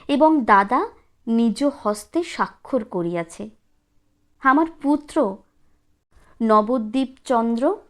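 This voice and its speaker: A woman voicing a frail, honest old man who testifies in a weak, halting, solemn way in a storybook reading. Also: fairly high pitch.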